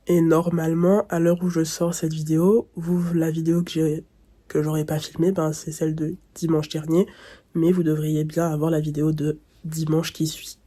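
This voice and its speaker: A woman's voice: low voice, flat delivery, moderate pace, dark tone. A young woman vlogging casually with a blocked nose, sounding nasal and congested, rambling and thinking aloud. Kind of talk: casual vlog talk to camera.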